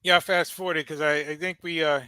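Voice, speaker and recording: A man's voice: on the high side, steady tone, brisk, pushed and bright, clean tone, no room sound. A man from the United States hosting a live podcast, casually commenting on a video with stammered restarts and laughing asides. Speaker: podcast host reacting to a video.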